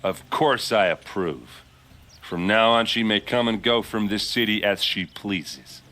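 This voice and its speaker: A hearty, hot-headed young warrior captain with a low voice that still rings bright and forward. He talks bluntly and flares up fast, like a game hero's rough friend. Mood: concerned. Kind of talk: video game cutscene acting.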